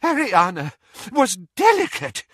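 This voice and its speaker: A man's voice: high voice, big pitch swings, unhurried, a bit bright, dry recording, clean studio sound, voice pushed up, heavily acted. A man voicing a frail, loyal old wizard in a storybook reading, wheezy and trembling, pleading in stiff, wounded indignation. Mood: desperate, pleading. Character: frail, miserable, indignant elderly male wizard, loyally defensive.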